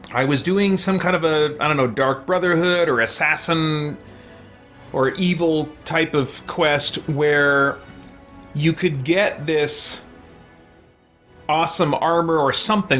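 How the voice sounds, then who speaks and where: A man's voice: a little high, fairly bright tone. A man hosting a game let's-play, chatting casually and reflectively to viewers, thinking aloud in hesitant, looping, qualified sentences. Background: background music.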